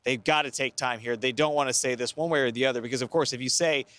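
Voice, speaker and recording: A middle-aged American man reporting live as a TV news correspondent, speaking in a measured, careful, explanatory way. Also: medium pitch, a bit bright, dry recording. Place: outdoors by a river at night.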